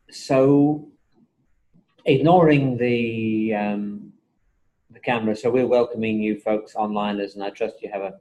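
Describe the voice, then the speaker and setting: A man teaching a university class, talking in a relaxed, chatty way with joking asides, recorded on a table mic in a college classroom. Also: lowish voice, muffled recording. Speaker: university lecturer. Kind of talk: classroom session recorded for online students. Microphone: table mic in the room.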